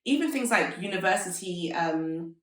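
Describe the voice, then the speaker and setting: A woman's voice: lowish voice, lively intonation, normal speed, a bit bright. A woman in her thirties, an entrepreneur talking casually and upbeat in an interview, full of "like"s. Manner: chatty, many filler "like"s, upbeat. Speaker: interview guest, fashion entrepreneur. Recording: roomy echo, mic at a distance.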